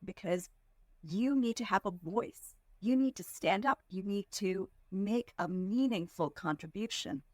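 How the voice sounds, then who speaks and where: Measured, earnest woman from India. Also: mid-range voice, no echo. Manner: measured, earnest, slows down to stress points. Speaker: podcast guest, fundraising executive. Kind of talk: reflective interview answers.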